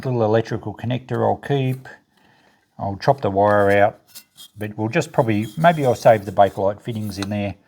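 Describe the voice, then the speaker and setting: A man's voice: medium pitch, darkish tone. A man hosting a casual unboxing video, thinking aloud in a matter-of-fact way as he sorts through items. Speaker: video host, e-waste recycler and reseller. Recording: noticeable background noise with clicks.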